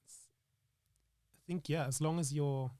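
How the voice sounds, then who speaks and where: A man's voice: fairly high pitch, fairly even intonation, measured pace, dark tone, no room sound, clean studio sound. A man speaking as an interview guest in casual, reflective chat, earnestly thinking aloud in broken phrases. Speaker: interview guest, actor and screenwriter.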